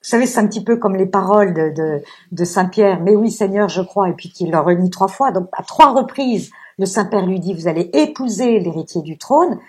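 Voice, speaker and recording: A woman with a husky voice giving an unscripted talk as a guest speaker, earnestly explaining with anecdotes and asides. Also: medium pitch, expressive, a little fast, darkish tone. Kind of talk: public lecture discussion, unscripted.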